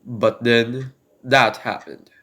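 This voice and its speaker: A man playing a desperate prisoner in an amateur radio drama, speaking earnestly and haltingly as he pleads his innocence. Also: mid-range voice, fairly even intonation, a bit bright. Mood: earnest, pleading.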